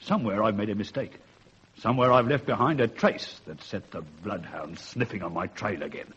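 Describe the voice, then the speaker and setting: Coolly sardonic older male killer, radio play; rough-edged husky voice, wordy, dull recording. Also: fairly low pitch, a bit bright. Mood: cold, bitter. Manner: measured, wordy, mock-courteous. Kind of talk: radio drama acting.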